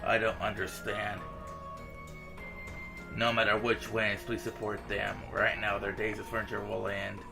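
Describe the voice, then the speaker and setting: A man reads a visual novel aloud for a gaming video in a low, gravelly voice. His delivery stays fairly flat and informal, with game music playing behind him. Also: a little slow. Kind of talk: reading visual novel dialogue aloud with casual reactions.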